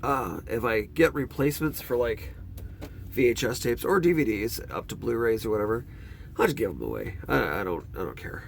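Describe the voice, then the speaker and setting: A man with a stuffed-up nose chatting casually through his movie collection as a vlogger, rambling and talking in a lively way. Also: medium pitch, normal speed, creaky, slight background noise. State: congested nose.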